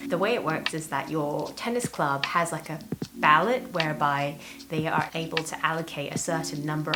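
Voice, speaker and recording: A woman in her thirties from Hong Kong hosting a personal vlog, chatting to camera in an enthusiastic, emphatic way. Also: deep voice. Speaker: vlog host. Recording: voice over prominent background music. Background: music.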